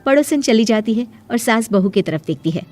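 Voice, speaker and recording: A woman's voice: on the high side, animated, a little fast, darkish tone, soft background music. A woman narrator delivering scripted story narration.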